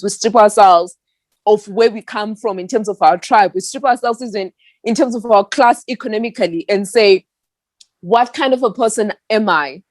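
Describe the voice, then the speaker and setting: A woman's voice: mid-range voice, darkish tone, dry recording. A young woman from Zimbabwe answering an interviewer earnestly and reflectively. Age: young adult. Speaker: activist guest.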